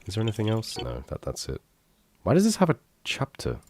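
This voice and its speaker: A man's voice: fairly low pitch, huge pitch swings, fairly quick, slight background noise. A young man with a stuffy, bunged-up voice, rambling and joking at his own expense while reacting to his old videos. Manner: rambling, self-deprecating, swears casually. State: congested, bunged up from illness. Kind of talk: casual reaction commentary.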